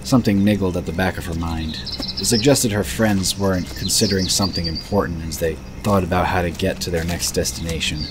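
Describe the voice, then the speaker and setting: A man's voice: sits low, brisk, background noise. A man reading scripted narration for a fantasy audio drama. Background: music.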